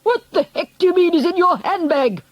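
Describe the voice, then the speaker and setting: Lady doing a shouty indignant husband in a kids story, husky edge, very animated. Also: high voice, a little fast, muffled recording, pitched above the performer's own voice. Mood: outraged. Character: loud, angry, blustering husband and father.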